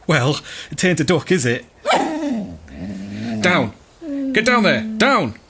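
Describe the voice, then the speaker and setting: An actor plays a rustic dog owner in a radio play, blunt and sardonic. The voice is husky and swings widely in pitch, full of earthy indignation. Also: very animated, slow, bright, forceful tone, exaggerated voice. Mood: gruff, sarcastic. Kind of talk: audio drama dialogue.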